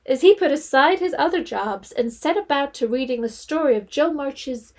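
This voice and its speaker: A woman's voice: high voice, expressive, moderate pace. A woman reading scripted storytelling narration as the narrator of a period audio drama.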